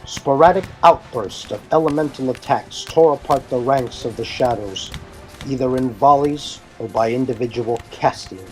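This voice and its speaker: A man's voice: mid-range voice, normal speed, faint music behind, low-quality recording. A man reading scripted fantasy narration in a formal, dramatic storytelling manner. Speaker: narrator and reader.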